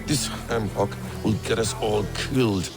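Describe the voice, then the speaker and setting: Gravelly, low, wry scholar. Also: male voice, steady tone, over music. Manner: wry, sardonic, measured. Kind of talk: video game character acting.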